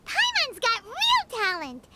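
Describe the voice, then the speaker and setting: A woman voicing a small, childlike, excitable fairy companion in an anime game, with a squeaky voice. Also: slow pace, very noisy, heavily acted. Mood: pleased. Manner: refers to self in third person, exclaiming. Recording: loud game music behind.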